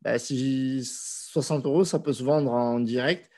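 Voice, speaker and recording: A man's voice: medium pitch, slow pace. A man in his thirties with a cold, casually answering viewer questions in a live stream from a small room on a video call with a desk microphone. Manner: relaxed, conversational, with frequent hesitations. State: has a cold.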